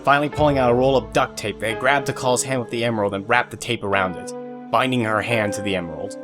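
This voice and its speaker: A man's voice: medium pitch, fairly even intonation, fast talker, music in the background. A man reading scripted narration for an audio drama, telling the scenes as the narrator.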